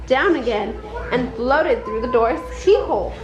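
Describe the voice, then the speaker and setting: A woman's voice: on the high side, animated, unhurried, music in the background. A woman reading a children's bedtime story aloud from a script. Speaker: storybook reader. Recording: dull, roomy, faint background noise.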